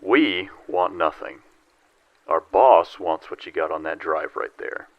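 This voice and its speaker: An actor playing a cool, loyal psionic agent in a sci-fi audio drama, speaking in a measured, dutiful manner. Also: male voice, low-pitched, dry recording, dull audio. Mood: cool, menacing.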